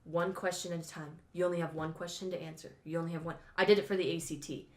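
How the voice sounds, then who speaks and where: Teen girl chatting super fast, a bit sniffly, echoey room. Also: fairly low pitch. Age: teens. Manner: fast, excitable, talks over others. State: sniffly with allergies. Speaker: guest. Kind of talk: casual chat.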